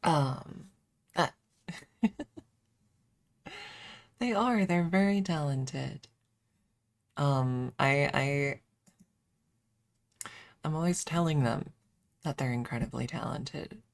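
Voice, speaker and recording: A woman streamer chatting casually in a soft, hesitant ASMR style with playful self-deprecation, close to the microphone. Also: sits low, slow pace, gravelly, pauses often, no echo. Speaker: ASMR livestreamer. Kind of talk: ASMR, casual rambling chat.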